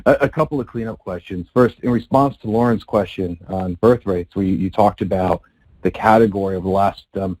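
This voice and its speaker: A man's voice: low voice, fairly quick, a little mellow, rough recording. A man, a financial analyst, asking friendly but probing questions on an earnings call. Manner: friendly, chatty, then probing.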